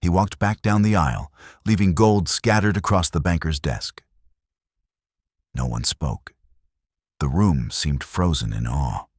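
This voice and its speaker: A man with an extremely low voice narrates a frontier tale. His delivery is solemn and suspenseful, with deliberate dramatic phrasing, and the sound is close and dry. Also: breathy edge, no room sound. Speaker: storyteller, narrator. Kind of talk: dramatic scripted western story narration.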